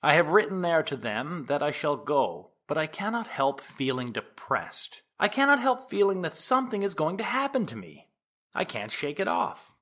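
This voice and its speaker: Man quoting an anxious, animated Victorian woman. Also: fairly high pitch, normal speed. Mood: foreboding. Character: anxious, foreboding young woman of the 1890s, quoted. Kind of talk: dramatised quotation within a courtroom speech.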